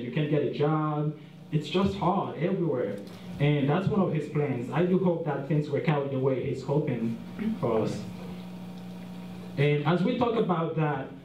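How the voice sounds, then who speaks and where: A young man from Haiti, giving an earnest, plain-spoken slide presentation as a guest speaker at a dinner. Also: a little high. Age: young adult. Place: large dinner hall. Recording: roomy, distant, dull sound, faint hiss.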